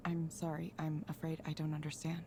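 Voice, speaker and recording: An actress playing a polite, impassive AI counselling program in a video game, with formal, scripted delivery. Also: female voice, low-pitched, monotone, fairly quick, warm tone, husky.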